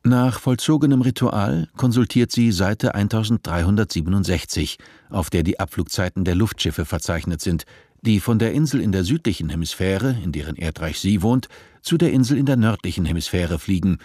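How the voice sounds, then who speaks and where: A man's voice: low voice, expressive, normal speed, dark tone, no room sound. A man narrating a dystopian radio drama in German, sober and detached.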